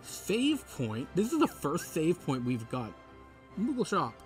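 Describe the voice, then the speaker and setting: Animated, joking male let's player. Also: a little high, unhurried. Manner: joking, wry asides. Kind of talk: casual playthrough commentary. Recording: game music behind the voice.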